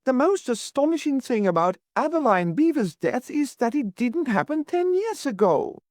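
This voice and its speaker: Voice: big pitch swings, moderate pace, darkish tone, no echo, studio quality. A narrator voicing a fretful, sentimental landlady in an audiobook, plaintive and emphatic. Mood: indignant, scornful. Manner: plaintive, emphatic, high-strung. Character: fretful, sentimental, agitated middle-class landlady.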